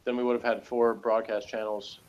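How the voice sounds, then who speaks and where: A man's voice: lowish voice, little pitch movement, normal speed, muffled recording, a little hiss or hum. A man from Texas chatting casually and unscripted as a co-host, rambling and correcting himself with a dry joking manner. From Texas, United States. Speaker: podcast co-host reviewing a TV episode.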